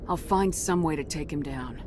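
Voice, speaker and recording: A woman's voice: low voice, moderate pace, noisy background, natural voice. An actress playing a resolute, guarded female marine commander in a cinematic video game, firm and controlled. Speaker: military commander.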